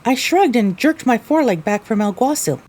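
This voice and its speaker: Woman reading a story in first person, mellow highish voice, very expressive pitch. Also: normal speed. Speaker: narrator.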